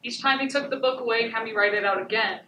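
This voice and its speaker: A teenage woman playing a haunted, self-blaming battered wife in a measured, emphatic stage monologue. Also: on the high side, lo-fi sound, close to an everyday voice. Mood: anguished, bitter. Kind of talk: high school stage monologue. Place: indoor theatre stage. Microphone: far camera. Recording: echoey noisy theatre, distant microphone.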